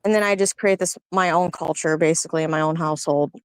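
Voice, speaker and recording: A woman's voice: low-pitched, steady tone, quick pace, clean tone, no echo. A woman in a casual political discussion, speaking reflectively with many fillers. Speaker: panelist.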